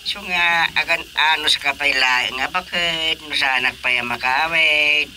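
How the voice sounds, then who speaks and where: An older village husband with an Ilocano accent and a very bright, forceful voice. He sits fairly high for a man and sounds concerned, in radio drama style, over faint background noise. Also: measured pace.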